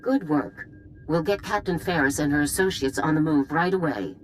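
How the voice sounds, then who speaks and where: A woman's voice: deep voice, normal speed, a little hiss or hum, natural voice. A woman voicing a stern, pragmatic middle-aged general in a video game, speaking in a clipped, authoritative way. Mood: firm, commanding.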